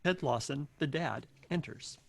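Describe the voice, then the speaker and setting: Gravelly man hosting a table read. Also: fairly high pitch, a little slow, no echo. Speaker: host and narrator of a table read. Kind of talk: reading introduction and stage directions.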